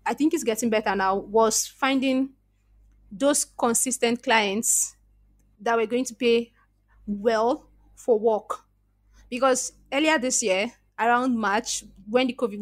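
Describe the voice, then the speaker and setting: A woman's voice: a little high, no echo. A woman with a Nigerian accent, a podcast guest telling her story in an earnest, reflective way and often restarting her sentences. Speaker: podcast guest, freelance copywriter. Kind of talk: casual interview conversation.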